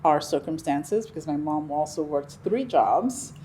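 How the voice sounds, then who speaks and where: A middle-aged woman from Nigeria, a Yoruba speaker, talking about her life in a relaxed, reflective interview, with frequent ums and restarts. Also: sits low, measured pace, a little hiss or hum. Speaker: interview guest. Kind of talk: conversational interview.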